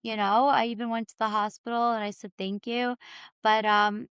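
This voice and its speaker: Woman, higher-pitched, raspy, informal candid storyteller, dry close sound, podcast guest. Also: level tone, moderate pace, fairly bright tone, no room sound. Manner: informal, peppered with "like" and "you know". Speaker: podcast guest telling her own story.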